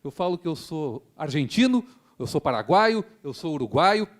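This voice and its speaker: Earnest prosecutor giving a speech. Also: male voice, on the high side, sing-song, normal speed. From Rio Grande do Sul, Brazil. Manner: earnest rhetorical speaker, frequent tag questions. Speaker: public prosecutor. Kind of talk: formal speech at a seminar.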